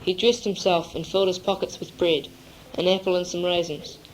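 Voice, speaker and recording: A teenager reading scripted third-person literary narration in a measured way on an amateur cassette recording. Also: medium pitch, steady tone. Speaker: narrator in a school drama. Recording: noisy amateur 1980s cassette tape.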